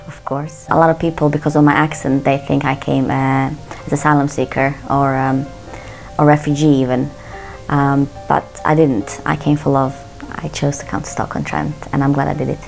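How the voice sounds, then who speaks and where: A woman's voice: low-pitched, level tone, normal speed, vocal fry, soft background music. A woman from Bosnia and Herzegovina telling, with some hesitation, how she came to live in the city. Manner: earnest, reflective, with hesitations. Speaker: interviewee. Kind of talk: personal testimony.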